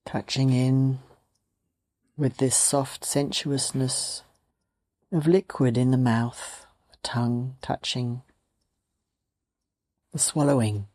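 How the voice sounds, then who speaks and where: A woman meditation teacher leading a guided meditation in gentle, sparse phrases with long pauses between them. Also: a bass voice, fairly even intonation.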